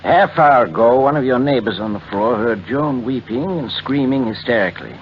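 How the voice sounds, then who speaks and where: An actor playing a steady family doctor in 1940s radio drama, grave, measured and authoritative. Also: male voice, mid-range voice, natural voice. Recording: muffled old radio recording with hum.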